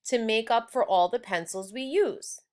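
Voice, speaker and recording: A woman's voice: a little high, normal speed, close to an everyday voice. A woman reading the part of an earnest, determined third-grade schoolgirl in a children's storybook. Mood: earnest, eager.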